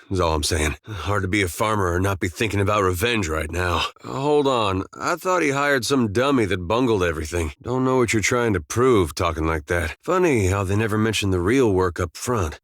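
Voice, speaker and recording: A man playing an easygoing, wry farmer turned adventurer in a video game, with a laid-back, deadpan, folksy way of talking. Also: on the low side, no echo, studio quality, close to an everyday voice. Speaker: video game companion character. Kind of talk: game dialogue and banter.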